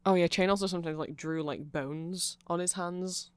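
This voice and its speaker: A performer voicing a nerdy, awkward, eager vampire friend in a rambling, hesitant ASMR roleplay, halting and self-conscious. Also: medium pitch, fairly even intonation, clean tone, dry recording, natural voice. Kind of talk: rambling ASMR roleplay, explaining fan lore to a listener.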